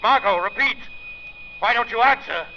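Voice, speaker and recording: A man's voice: extremely high pitch, moderate pace, pushed and bright, full character voice. A man playing a resolute, mysterious crime-fighter, clipped and declamatory in 1930s radio drama style. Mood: urgent calling. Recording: muffled, noisy 1930s radio recording.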